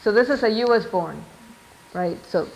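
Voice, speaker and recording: A middle-aged woman lecturing at an academic seminar. She speaks in a measured, thoughtful way, pausing with fillers and asking "right?" to keep her listeners with her. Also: medium pitch, lively intonation, creaky, darkish tone, rough recording. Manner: measured, reads out quotes, frequent ums and "right?". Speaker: researcher giving a seminar talk.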